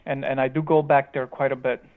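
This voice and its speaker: A man with a light Romanian accent blended with a Chicago one, speaking as a startup founder. His answers are casual and halting, full of ums and repeated starts. The sound is thin and tinny, like a phone line. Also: mid-range voice, flat delivery. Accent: slight Romanian mixed with Chicago. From born in Romania, raised in Chicago.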